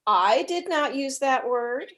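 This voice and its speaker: A woman's voice: high-pitched, lively intonation, slow, fairly bright tone, full character voice. A woman playing a polite but blunt mother in her forties, in a comedy script read. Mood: indignant. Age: middle-aged.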